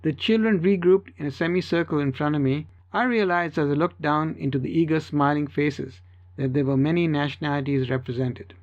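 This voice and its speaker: Male story narrator, first person, fairly high voice, slightly dull recording. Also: brisk, darkish tone. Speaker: audiobook narrator.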